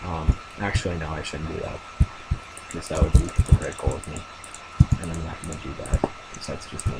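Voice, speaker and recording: A young man casually commenting on his own game as he plays, thinking aloud with lots of fillers and a deep voice. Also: fairly even intonation, fairly quick, creaky, heavy background noise. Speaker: gamer narrating his play.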